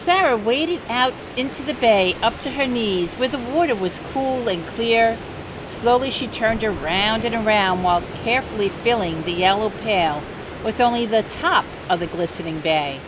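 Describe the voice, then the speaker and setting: An older woman reading a children's picture book aloud in a gentle, unhurried bedtime-story manner. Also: on the high side. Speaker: storybook reader. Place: outdoors by the sea. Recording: steady outdoor noise.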